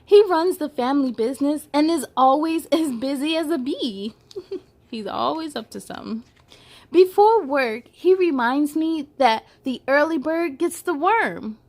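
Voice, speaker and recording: Female children's read-aloud host, high voice, animated and playful storytelling delivery. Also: unhurried. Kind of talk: expressive read-aloud for children with chatty asides.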